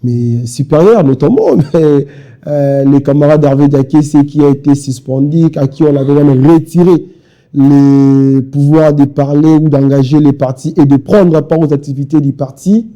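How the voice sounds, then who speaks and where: A man's voice: on the high side, moderate pace, mellow, dull audio. A young man from Kinshasa with a Congolese French accent, a party spokesman speaking insistently in a televised interview. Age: young adult. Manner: insistent, repetitive speechmaking.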